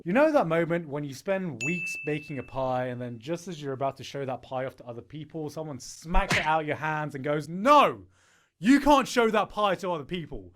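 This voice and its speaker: A man's voice: a little high, normal speed, soft background music. A man narrating a scripted video essay as an anime YouTuber, with wry, profane comic flair. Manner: wry, profane, animated delivery.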